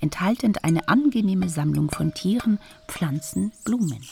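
A woman's voice: on the low side, expressive, a little slow, creaky, darkish tone, a little hiss or hum. A woman announcer giving scripted headings in a radio documentary drama, factual and precise. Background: faint music.

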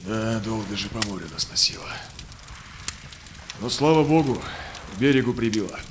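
An actor playing a steadfast, devout Russian Cossack explorer in a rhymed verse audio drama, with measured, solemn delivery. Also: male voice, on the low side, lively intonation, background noise, close to an everyday voice. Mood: weary.

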